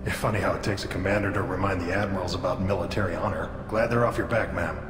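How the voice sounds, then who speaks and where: Man as a deep-voiced laconic soldier. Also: fairly even intonation, fast, lightly acted. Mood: dry, respectful. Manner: laconic, dryly respectful. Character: dry, loyal, deferential male alien soldier. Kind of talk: video game dialogue. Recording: echoey hall, music behind.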